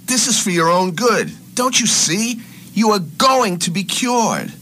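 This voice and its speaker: Man acting a bright, slow, soothing captor. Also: high voice, expressive, exaggerated voice. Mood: falsely soothing. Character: man feigning calm reassurance to frightened captive. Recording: slightly noisy amateur room recording.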